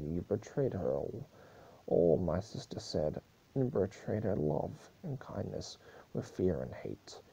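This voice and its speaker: A man's voice: lowish voice, vocal fry, dark tone, breathy edge, muffled recording, full character voice. A man voicing a grieving, furious female pony ruler in a solemn, accusing speech-like manner. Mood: bitter anger.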